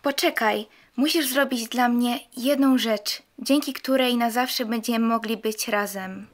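A young woman plays a ghostly temptress in a radio drama, coaxing and pleading. Her female voice sits fairly high. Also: a little slow, close to an everyday voice. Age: young adult.

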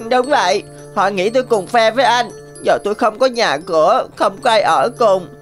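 A woman's voice: medium pitch, normal speed, faint music behind, natural voice. A woman voicing a small, clever rabbit in a cartoon, in a coaxing, plaintive manner. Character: small, clever, sweet-talking, vengeful rabbit. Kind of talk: cartoon voice acting.

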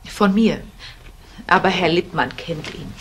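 A woman's voice: fairly low pitch, unhurried, fairly bright tone. An actress playing a guarded, anxious young girlfriend in a crime radio play, polite but questioning back. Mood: uneasy, insistent. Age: young adult. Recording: noisy old radio drama recording.

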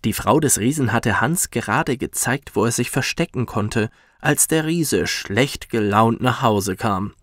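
A man gently and expressively narrating a scripted bedtime story for children, as a professional reader. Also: mid-range voice, dry recording, clean studio sound.

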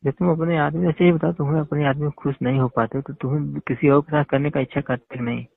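A man's voice: fairly high pitch, fairly even intonation, fast talker, gravelly. A man on a phone call, talking casually and insistently, often pressing with repeated questions. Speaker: phone caller. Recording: tinny phone-line call recording.

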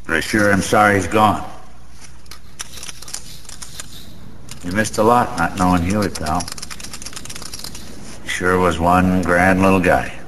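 An actor playing a boozy, sentimental, boastful small-time gambler in a radio drama, rambling in old-fashioned street slang with a gravelly voice. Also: male voice, low-pitched, fairly bright tone. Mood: wistful. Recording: faint background noise.

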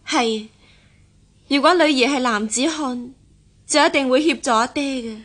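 A woman's voice: high voice, measured pace, a bit bright. An actress playing a confident, well-born young woman in a historical radio drama, self-assured, with a Hong Kong Cantonese accent. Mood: dutiful, reasoning. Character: confident young gentlewoman, martial-minded daughter of official's household. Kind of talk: radio drama acting in historical costume piece.

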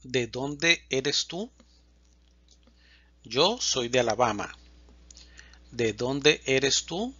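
A man teaching a Spanish lesson, reading example questions and answers slowly and distinctly. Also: medium pitch, expressive, pushed and bright, breathy edge, no room sound, dull audio. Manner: reads questions and answers slowly and distinctly, pausing between lines. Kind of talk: slow, clear language lesson reading example dialogues.

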